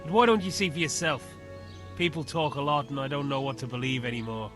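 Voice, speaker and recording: A grave medieval village spokesman, acted by a man with a mid-pitched voice, over prominent music. He sounds weary and guarded and speaks bluntly, as game drama. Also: brisk, natural voice. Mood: worried, weary. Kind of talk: video game voice acting.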